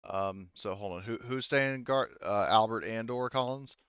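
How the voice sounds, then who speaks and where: A man narrating a tabletop roleplaying game as game master in a halting way, speaking for characters in his own voice. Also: on the low side, a bit bright, clean tone, no echo, dull audio.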